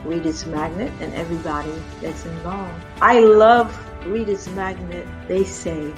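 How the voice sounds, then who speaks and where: A woman who is an author, speaking deliberately and warmly. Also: lowish voice, fairly even intonation. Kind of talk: thank-you remarks. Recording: music playing clearly behind.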